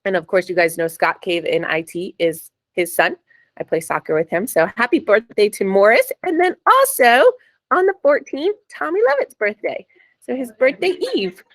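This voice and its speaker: Raspy-voiced woman hosting a meeting. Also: medium pitch, lively intonation, moderate pace, no room sound. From New Jersey. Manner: chatty, upbeat, frequent ums. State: raspy this morning. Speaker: sales meeting host. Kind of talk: casual meeting announcements.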